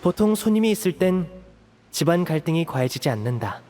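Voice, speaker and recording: Game role: earnest, protective young man, measured and resolute, lightly high pitch, long reverb. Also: big pitch swings, a little mellow, faint music behind. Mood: composed. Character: protective, earnest young elder brother figure, raised an orphan. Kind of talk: video game voice acting.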